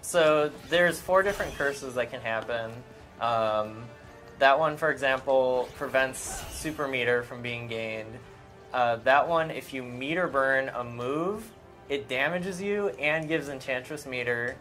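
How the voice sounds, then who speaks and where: A man's voice: medium pitch, measured pace, a bit bright, faint music behind. A man co-presenting a game stream, explaining technical gameplay details in an informal, matter-of-fact way.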